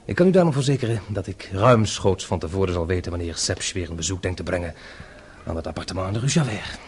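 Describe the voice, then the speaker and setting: An actor playing a courteous, self-assured French intelligence officer in a Dutch radio play, speaking formally with small hesitations. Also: male voice, low-pitched, expressive, darkish tone. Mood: composed, confident. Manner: measured, formal, hesitates before answers. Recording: radio play with noisy background ambience.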